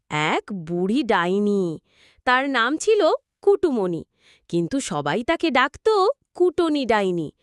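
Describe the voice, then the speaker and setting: A woman's voice: high voice, no echo, studio quality. A woman narrating a scripted children's story as a storyteller.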